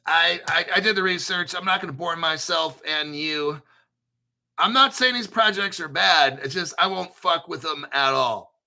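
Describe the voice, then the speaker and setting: A man's voice: fairly high pitch, normal speed, pushed and bright. A man hosting a casual crypto show, talking bluntly and profanely, rambling with restarts. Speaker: crypto channel host. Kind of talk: casual livestream chat.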